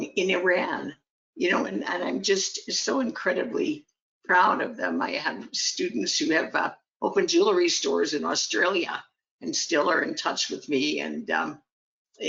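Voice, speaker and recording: Gravelly, low-voiced woman. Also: pushed and bright, dull audio. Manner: proud, heartfelt, with some restarts. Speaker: guest, gemology teacher. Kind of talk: conversational interview.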